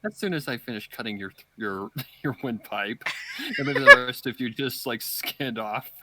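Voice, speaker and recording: A man's voice: medium pitch, animated, moderate pace, background noise. A man chatting casually as a co-commentator. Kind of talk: casual chat.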